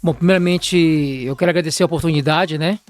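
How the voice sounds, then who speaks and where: A middle-aged Brazilian man from Pará, a pastor and mentor, speaking in a calm conversational way with a measured preaching cadence. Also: on the high side, faint music behind. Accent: Brazilian Portuguese, from Belém do Pará. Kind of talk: calm conversational talk-show guest.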